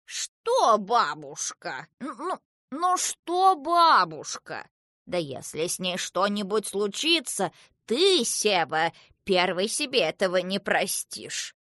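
A woman's voice: mid-range voice, lively intonation, a little slow, few pauses, a bit bright, no echo, clean studio sound. A woman voicing a stern, worried grandmother who scolds in an exasperated, emphatic manner. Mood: stern, alarmed.

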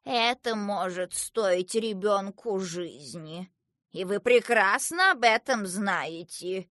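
A woman's voice: medium pitch, sing-song, slow, fairly bright tone, no echo, studio quality, exaggerated voice. A woman voicing a stern, worried grandmother who scolds in an exasperated, emphatic manner. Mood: stern, alarmed.

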